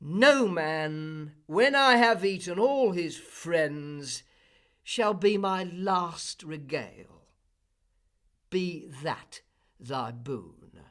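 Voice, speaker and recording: A savage one-eyed giant bellows his words slowly and heavily, performed by a man reading an epic aloud. The delivery is loud and drawn out, sitting a little high for a male voice. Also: animated, heavily acted. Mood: menacing.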